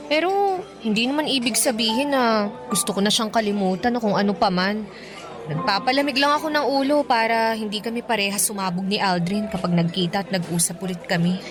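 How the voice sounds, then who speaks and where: A woman's voice: mid-range voice, a little fast, close to an everyday voice. An actress playing a conflicted, clingy young woman in a radio drama, speaking in a plaintive, conversational way. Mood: hesitant. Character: clingy, conflicted young woman venting about her boyfriend. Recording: music bed behind.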